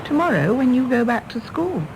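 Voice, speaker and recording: An actress playing a wistful, wry middle-aged Englishwoman in a radio play, speaking in a measured, genteel English accent. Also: high-pitched, big pitch swings. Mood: gently indulgent. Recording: faint background noise and ambience.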